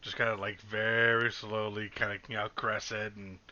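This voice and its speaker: A man chatting excitedly and casually as a player in an online tabletop game, slangy and swearing freely. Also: lowish voice, moderate pace, muffled recording.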